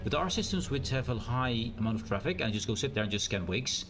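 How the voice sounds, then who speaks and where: A man with a naturally loud voice and a strained, sore throat, thinking aloud in a rambling way as he streams a game. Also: medium pitch, expressive, quick pace. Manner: rambling, thinks aloud with fillers and self-corrections. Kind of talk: casual live-stream gameplay commentary.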